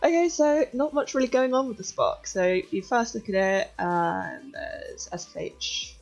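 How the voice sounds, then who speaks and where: Croaky-voiced young woman, chatty streamer. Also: a little high, unhurried, dull audio. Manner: chatty, relaxed, giggly. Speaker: streamer teaching hacking. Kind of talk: casual live-stream chat and tutorial. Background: faint music.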